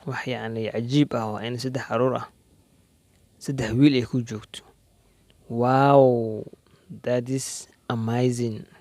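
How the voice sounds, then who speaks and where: A man with a Somali accent teaching English conversation, saying each English phrase slowly and clearly and then explaining it quickly in Somali. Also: mid-range voice, fairly even intonation, a little mellow, no echo. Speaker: language teacher. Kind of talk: slow English dialogue drills with Somali explanations.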